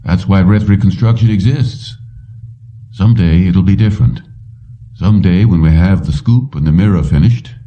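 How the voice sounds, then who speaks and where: Man reading strained older company boss, pitched up, muffled. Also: moderate pace, lightly acted. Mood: weary. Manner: tense, pressed delivery. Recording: muffled, distant-sounding dull recording.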